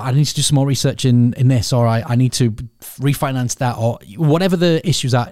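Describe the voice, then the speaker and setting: A man's voice: medium pitch, a little mellow, dry recording, studio quality. A man hosting a solo podcast, sniffling from a cold as he candidly explains his planning in a thinking-aloud way. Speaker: podcast host and business owner.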